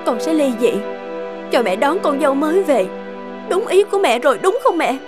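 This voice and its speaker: A woman's voice: high voice, lively intonation, normal speed, over music. A woman voicing a hurt young wife in a cartoon, speaking in an accusing, emotional way. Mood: bitter. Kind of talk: cartoon dub acting.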